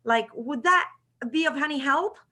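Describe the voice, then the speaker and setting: A woman's voice: high voice, normal speed, bright, forceful tone. A woman acting student asking a question in class, hesitant and full of filler words. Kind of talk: casual classroom discussion.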